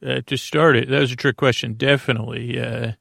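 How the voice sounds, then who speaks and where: A man hosting a bedtime podcast in a creaky, soothing voice, meandering hesitantly through absent-minded tangents. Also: mid-range voice, fairly even intonation, a little fast, fairly bright tone, no echo, studio quality. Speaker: podcast host. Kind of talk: rambling bedtime sleep podcast monologue.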